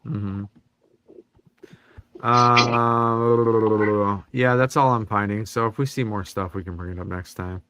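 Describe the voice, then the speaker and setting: A man leads a casual chat about collectible figures. He talks in a relaxed, meandering way, teasing his co-hosts along the way. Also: lowish voice, takes it slowly, long pauses. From Chicago suburbs. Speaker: podcast co-host.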